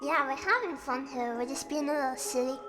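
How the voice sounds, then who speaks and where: A woman with a girlish, slightly croaky voice chatting casually on a livestream in a playful, self-deprecating way. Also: brisk. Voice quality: high, girlish voice. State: slightly croaky and sniffly from singing. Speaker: livestreamer hosting a chat and karaoke stream. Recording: music behind the voice. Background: music.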